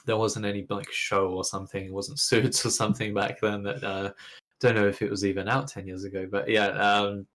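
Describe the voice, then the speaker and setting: A man's voice: lowish voice, little pitch movement, fairly quick, a bit bright, a little hiss or hum. Chatty young man with an English accent. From Ukraine. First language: Russian. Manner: chatty, rambling with restarts. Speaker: guest. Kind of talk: casual interview conversation.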